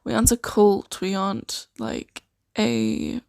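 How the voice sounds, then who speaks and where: A woman playing a friendly, flustered sanctuary worker in an improvised fantasy ASMR roleplay, talking in a hesitant, halting, awkward way. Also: mid-range voice, fairly even intonation, gravelly, a little mellow, clear, resonant tone, no room sound, clean studio sound. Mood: hesitant, reassuring. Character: friendly, flustered young female sanctuary worker named Claire.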